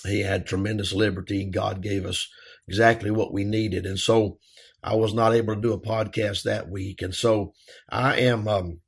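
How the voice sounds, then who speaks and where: Raspy-throated pastor, gravelly low voice. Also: male voice, flat delivery, moderate pace. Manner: earnest, folksy, frequent "uh" fillers. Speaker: pastor and podcast host. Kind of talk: casual podcast monologue.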